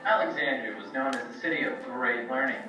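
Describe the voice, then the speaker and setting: A man's voice: mid-range voice, animated, a little fast, loud music behind the voice, natural voice. A man acting an eager, boastful ancient Greek scientist puppet in a children's show, pompous and theatrical. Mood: proud. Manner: pompous, theatrical, self-admiring. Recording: echoey room, far from mic, faint noise.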